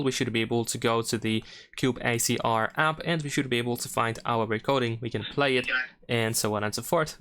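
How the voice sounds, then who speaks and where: A man hosts a how-to walkthrough in a clean, smooth voice of medium pitch. He explains each step in turn without a script, adding small hedging asides as he goes. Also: fairly bright tone. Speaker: tutorial host. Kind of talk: unscripted how-to screen narration.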